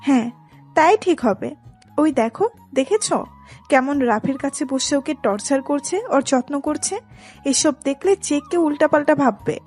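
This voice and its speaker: Woman acting older sister, high-pitched, admonishing, protective, romantic audio story, music underneath. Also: lively intonation, measured pace, a little mellow. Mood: worried. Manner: stern, admonishing. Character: protective, exasperated older sister scolding her younger sister.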